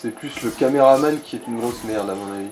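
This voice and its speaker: A man's voice: mid-range voice, brisk, darkish tone, poor audio. A man giving casual, blunt game commentary and advice to a player, with crude slang. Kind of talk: casual let's play commentary. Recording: light background noise.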